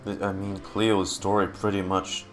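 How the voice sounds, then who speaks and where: A man doing casual, expressive live game commentary, informally muttering to himself with a creaky voice. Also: sits low, measured pace. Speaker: streamer reacting to a game. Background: game music.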